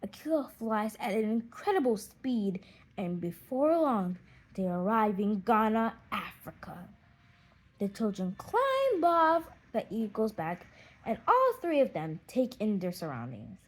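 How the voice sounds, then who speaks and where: A woman narrating a children's audiobook in an expressive storytelling manner. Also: medium pitch, measured pace, rough recording.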